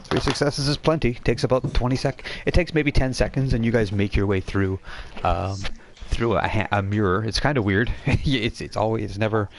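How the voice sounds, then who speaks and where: A man with a low-pitched voice acts as game master for a tabletop game. He narrates casually and off the cuff, often halting to rephrase and explain details. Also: a little hiss or hum. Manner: halting, explanatory, self-correcting.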